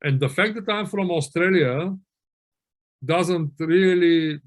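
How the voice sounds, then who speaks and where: A man's voice: on the high side, takes it slowly. A middle-aged man from Bulgaria giving a webinar lecture, telling anecdotes in a conversational way with many fillers and restarts. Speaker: webinar presenter on auditing.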